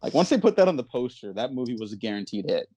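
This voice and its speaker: A man's voice: medium pitch, big pitch swings, fast talker, warm tone, dry recording. Man hosting a podcast, close dry sound. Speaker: podcast host. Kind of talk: casual movie podcast discussion.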